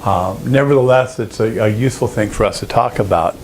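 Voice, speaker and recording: A man presenting an informal technical lesson, explaining step by step in a halting, explanatory way. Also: on the low side, slight background noise. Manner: explanatory, halting, with frequent throat clearing. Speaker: presenter of an audio engineering lesson.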